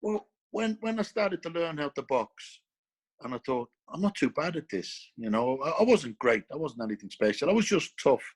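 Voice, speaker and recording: A man's voice: a little high, sing-song, moderate pace, slightly rough. An Irish former boxer answering interview questions in an earnest, emphatic way, often repeating his key phrases for weight. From Ireland. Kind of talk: casual interview.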